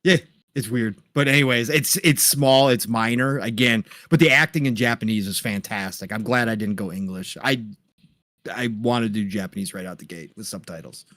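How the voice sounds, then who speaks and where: A man co-hosting a gaming podcast, chiming in with quick agreeing replies. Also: lowish voice, fairly bright tone, no room sound, clean studio sound. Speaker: podcast co-host. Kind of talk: casual gaming chat.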